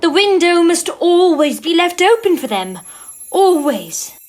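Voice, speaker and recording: A woman playing a gentle, devoted, long-suffering mother, tender and genteel, in an audio drama. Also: very high-pitched, very animated, a little slow, exaggerated voice. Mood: sorrowful, firm.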